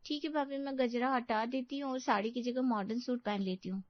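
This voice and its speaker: A woman's voice: on the high side, steady tone, fairly quick, no echo, natural voice. A woman voicing a naive, earnest young unmarried girl in a cartoon story. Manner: soft, earnest. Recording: dull dry recording.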